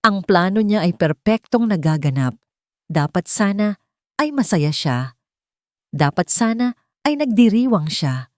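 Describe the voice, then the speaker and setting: A woman with a Filipino accent narrating a scripted love drama in a measured, dramatic way. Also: mid-range voice, big pitch swings, no echo, studio quality. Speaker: storyteller.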